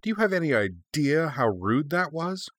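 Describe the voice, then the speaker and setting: A man's voice: fairly low pitch, sing-song, normal speed, fairly bright tone, no echo, clean studio sound. A man voicing a sassy young female dragon in a story, with a sarcastic, snappy, defiant manner. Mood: indignant, sarcastic. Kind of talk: expressive storybook character reading.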